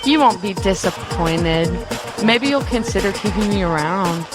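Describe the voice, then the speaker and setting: Actress as flirty, lively-voiced woman. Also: medium pitch, a little slow, natural voice. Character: flirtatious, eager-to-please woman at a fight night. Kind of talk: audio drama acting. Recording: music behind.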